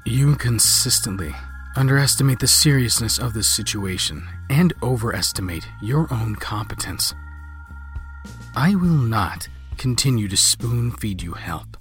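A man's voice: fairly low pitch, pitched above the performer's own voice. A man voicing a fed-up, threatening detective in an audiobook, scolding in formal words.

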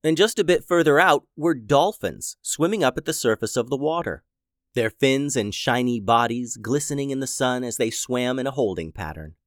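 Brief: scratchy-voiced male kids' storyteller, lively and singsong, dry close sound. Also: mid-range voice, normal speed, no echo. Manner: playful and silly. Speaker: podcast host and storyteller.

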